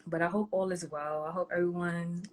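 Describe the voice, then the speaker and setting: A woman with a slightly low voice talks casually over a call, drifting and restarting her sentences with lots of fillers. A faint hiss sits under her voice. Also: steady tone, a little fast. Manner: rambling, many fillers and restarts. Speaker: guest on a live stream. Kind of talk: casual chat.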